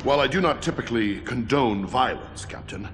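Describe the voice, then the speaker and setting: Erudite scientist superhero, male actor, grave and eloquent, expressive mid-pitched delivery over clear background music. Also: brisk, close to an everyday voice. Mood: earnest. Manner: formal, eloquent, earnest. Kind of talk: video game cutscene acting.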